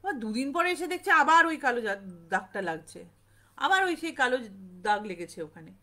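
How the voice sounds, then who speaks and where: A woman's voice: high voice, very animated, a little fast, bright, forceful tone. A woman telling a ghost story informally, conversationally repeating phrases for emphasis and lightly acting out dialogue.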